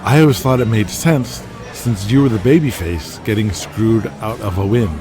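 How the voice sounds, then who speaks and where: A man playing a young, cocky, tipsy wrestler's sidekick in an audio drama, loose and excitable. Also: on the low side, normal speed, close to an everyday voice. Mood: interested. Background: music.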